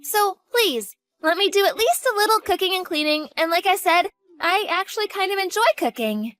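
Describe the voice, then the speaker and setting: A woman's voice: extremely high pitch, expressive, moderate pace, fairly bright tone, dry recording. A woman voicing a devoted college-age daughter who talks earnestly and grows panicked in a dramatized story.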